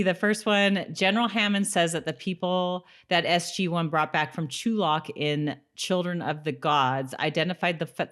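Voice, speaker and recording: Low-pitched woman co-hosting a podcast. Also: a bit bright. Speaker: podcast co-host. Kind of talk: casual chat about a TV episode.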